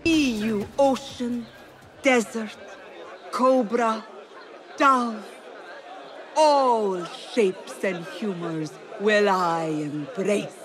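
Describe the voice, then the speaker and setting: A woman's voice: mid-range voice, very slow, long pauses, some chatter behind. An actress playing a witty, proud woman poet with a teasing, lyrical manner, in a game cutscene. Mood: playful, seductive. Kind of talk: theatrical video game cutscene acting.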